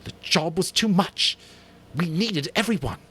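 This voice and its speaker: A proud, excited boy hunter leader bursts out high and loud, as a man puts him on. His voice jumps about, eager and boastful, in a storybook reading. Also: measured pace, slightly rough, exaggerated voice. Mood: defensive.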